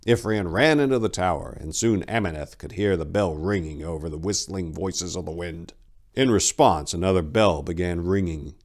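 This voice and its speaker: A man with a deep voice works as host and narrator. His delivery is scripted, deliberate and theatrical, suited to dramatic story readings.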